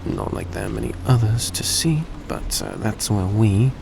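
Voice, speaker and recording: A man playing a courteous, gentle vampire in an intimate audio roleplay, speaking politely and a little hesitantly to the listener. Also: lowish voice, normal speed, creaky, heavily acted. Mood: hesitant, caring. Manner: soft-spoken, polite, hesitant, formal phrasing. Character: courteous, gentle male vampire repaying a debt. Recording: steady background noise behind voice.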